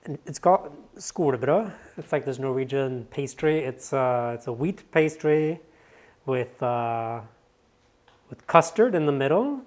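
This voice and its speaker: Relaxed male co-host on a livestream. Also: mid-range voice, unhurried. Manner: brief, relaxed replies. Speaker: co-host handling the stream audio. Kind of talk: livestream casual chat.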